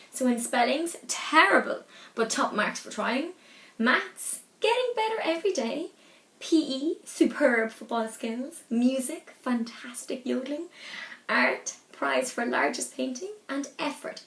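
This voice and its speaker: A woman reading a picture book aloud to young children in an animated, deliberate way. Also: high voice. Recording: echoey, roomy sound.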